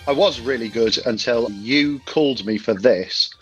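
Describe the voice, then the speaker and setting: A man's voice: medium pitch, unhurried, soft background music. A man co-hosting a casual football podcast over his phone, full of self-deprecating, jokey asides. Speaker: podcast co-host. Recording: dull phone recording.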